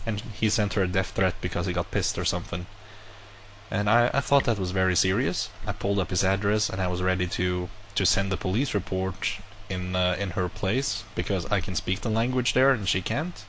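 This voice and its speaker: Low-voiced man rambling through a story. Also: a little fast, slight background noise. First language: not English. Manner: rambling, self-correcting, animated retelling. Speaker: vlogger. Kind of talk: casual monologue to camera.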